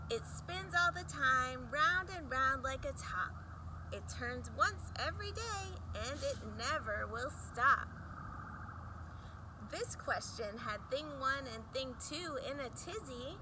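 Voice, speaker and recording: Female children's storybook reader, high-pitched, unhurried, emphatic rhyming delivery with deliberate pauses. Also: noisy background. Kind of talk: expressive read-aloud of a rhyming picture book for children.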